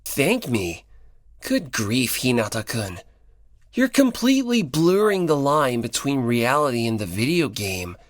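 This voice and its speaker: A performer voicing a teasing, theatrical, slyly contrary teenage boy in an audiobook, with a mocking, drawn-out delivery. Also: medium pitch, big pitch swings, dry recording, clean studio sound. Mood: dismissive. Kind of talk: dramatic audiobook character dialogue.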